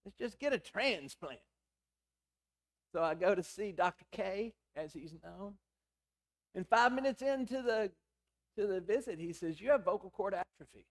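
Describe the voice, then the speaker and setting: A middle-aged man with a hoarse, raspy voice, preaching a sermon in a conversational, storytelling way with quoted dialogue. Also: high-pitched, animated, moderate pace, pauses often. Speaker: pastor.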